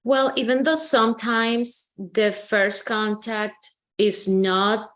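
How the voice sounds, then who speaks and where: A woman from Colombia, a company CEO, explaining her consulting approach in a webinar with steady, measured speech and frequent "um"s. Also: on the high side. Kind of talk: webinar presentation.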